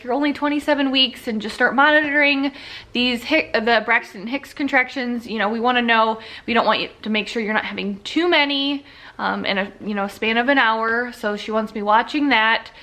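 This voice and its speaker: A young woman talks through a casual vlog update in a bright, slightly high voice. She sounds a little congested and sniffly, and she rambles along with lots of fillers and easy asides. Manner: chatty, rambling, with frequent fillers and laughs. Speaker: vlogger.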